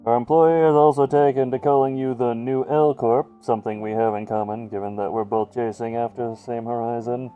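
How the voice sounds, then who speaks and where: A man voicing a composed, courteous company employee in measured, formal game dialogue. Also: mid-range voice, fairly even intonation, clean tone, close to an everyday voice. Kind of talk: scripted game dialogue read aloud. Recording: slightly dull sound over music.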